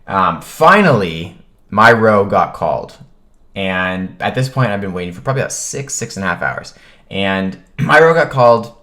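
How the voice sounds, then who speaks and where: Deep-voiced man telling a casual story. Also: normal speed. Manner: animated retelling, acts out quoted remarks. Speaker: vlogger telling a personal story.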